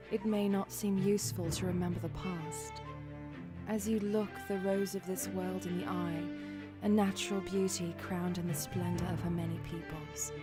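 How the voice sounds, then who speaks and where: A woman playing the grave, sorrowful last survivor of a fallen fantasy race, reading a confession in a measured, poetic way. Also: fairly low pitch, lightly acted. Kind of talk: solemn dramatic reading of a confession. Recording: musical score under the voice. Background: music.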